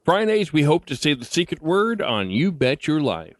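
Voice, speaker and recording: A male podcast host delivers a scripted introduction. His pitch is average but swings widely, and the sound is close and dry, with no room echo. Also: big pitch swings, normal speed.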